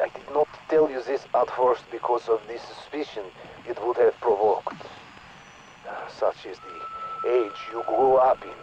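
An actor playing an earnest male Russian scientist speaking over a radio, with a Russian accent and a slow, measured manner. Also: medium pitch, darkish tone, muffled recording, slight background noise, close to an everyday voice. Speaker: in-game radio character. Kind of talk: recorded game dialogue.

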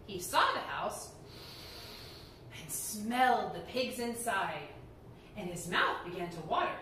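A young woman reading a story aloud to children in a theatrical, expressive, emphatic way. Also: medium pitch, takes it slowly. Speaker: library storyteller, reader. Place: indoor room before folding screen. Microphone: camera microphone a few metres away. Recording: echoey room, distant mic, background noise.

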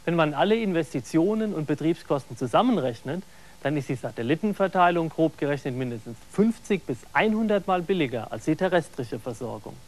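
A man delivers a scripted documentary voice-over. His voice sits a little high, and he reads in a measured, factual way. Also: animated, darkish tone. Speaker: voice-over narrator.

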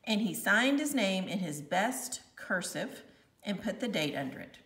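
A woman reading a children's book aloud as an expressive narrator. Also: medium pitch, a little slow. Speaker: storybook reader. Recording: echoey room, distant from mic.

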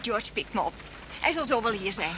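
An actress playing a chatty, nosy, excitable woman with a suspicious imagination in a Dutch radio play, inquisitive in manner. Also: mid-range voice, moderate pace, lightly acted. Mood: teasing, chatty. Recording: very noisy old radio drama recording.

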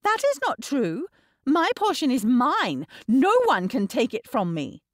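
A woman's voice: high-pitched, wildly swooping pitch, unhurried, no room sound, studio quality, voice pushed up, heavily acted. A woman voicing a spoiled teenage girl in an audiobook, petulant, haughty and indignant. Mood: petulant, defensive.

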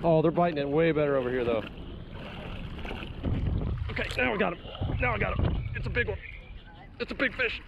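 A man in his thirties giving casual, excitable running commentary as he fishes outdoors, often exclaiming and repeating his phrases. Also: high-pitched, fairly quick, gravelly, long pauses. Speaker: vlogger fishing from a jet ski. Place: outdoors on a jet ski at sea. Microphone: action camera worn or held close. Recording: dull action-camera audio, loud wind and water.

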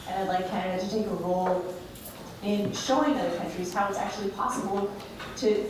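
Woman in the audience, earnest, slightly halting, handheld mic, far and roomy. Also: mid-range voice. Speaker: audience member. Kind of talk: town hall comment. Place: large lecture hall. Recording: echoey hall, distant noisy audio.

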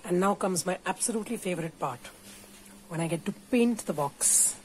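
A woman's voice: lowish voice, animated, fairly quick, darkish tone, slight background noise, low-quality recording. An Indian-accented woman giving an informal, playful and encouraging step-by-step craft tutorial. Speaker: puppeteer teaching a craft workshop. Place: indoors at a craft table.

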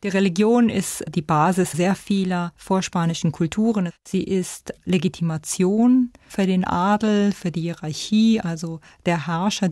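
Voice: mid-range voice, warm tone, dry recording, clean studio sound. An expert giving explanatory commentary on history in considered, repeated phrases.

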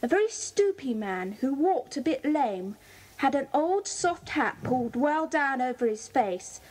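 A woman's voice: high voice, expressive, measured pace, darkish tone, rough recording. A woman with a British accent playing a keen, observant schoolgirl in a children's audio drama, describing things precisely.

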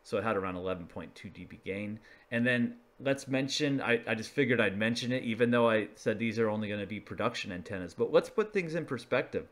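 Low-voiced man giving a casual explanation. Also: fast, hardly any pauses. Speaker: video presenter, radio hobbyist. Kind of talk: casual explainer talking through slides.

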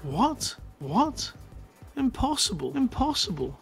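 A horrified male space pilot played by a man reading a radio story. His voice is high and overwrought. He exclaims dramatically, as a smuggler in a panic. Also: very animated, slow pace, gravelly, soft background music, full character voice. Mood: disbelieving.

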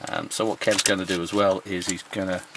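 A man's voice: low-pitched, level tone, brisk, heavy background noise. A man in his fifties explaining things casually to camera as a sailing vlog host. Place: outdoors at a marina and aboard a sailboat.

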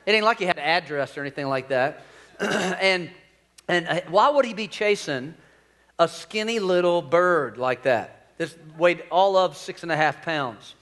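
Man, 40s, senior pastor. High-pitched, folksy, joking sermon delivery from a church stage. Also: lively intonation, a little slow, fairly bright tone. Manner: folksy and joking, talks to the crowd. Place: large church stage with screens.

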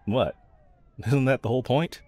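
A man's voice: medium pitch, normal speed, dark tone, natural voice. A man playing a snarky, pragmatic yet protective mercenary escort in a fantasy audio roleplay, talking to the listener in a wry, teasing, laid-back way. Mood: casual, reassuring.

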